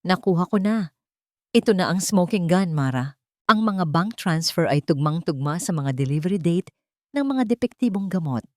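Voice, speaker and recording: A woman storyteller reading as a grave attorney ally who gives urgent, deliberate warnings in a drama. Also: lowish voice, expressive, darkish tone, no room sound, studio quality, natural voice.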